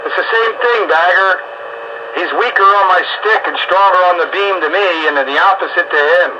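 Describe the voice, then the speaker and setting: A man with a slightly high voice talks casually over a two-way radio. The signal is dull and band-limited, with clear noise behind him. He is blunt and exasperated, thinking out loud as he goes. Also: moderate pace. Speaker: amateur radio operator. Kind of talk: casual on-air radio chat.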